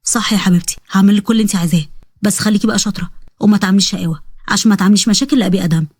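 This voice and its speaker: A woman's voice: mid-range voice, very fast, darkish tone, dry recording, lightly acted. A woman with an Egyptian accent voicing a young man, a protective and earnest older brother.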